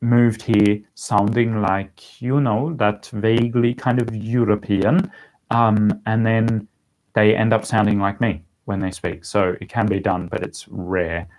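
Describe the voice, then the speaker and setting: Low-voiced Australian man chatting. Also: fairly even intonation, unhurried, darkish tone, poor audio. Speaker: language-learning vlogger answering viewer questions. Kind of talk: casual livestream Q&A chat.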